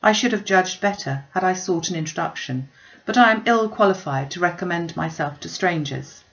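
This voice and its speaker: A reserved young gentleman from a storybook, read by a woman speaks in measured, formal phrases. He is proud but courteous, understated in delivery, with a lowish creaky tone. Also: lively intonation, lo-fi sound. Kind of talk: storybook reading.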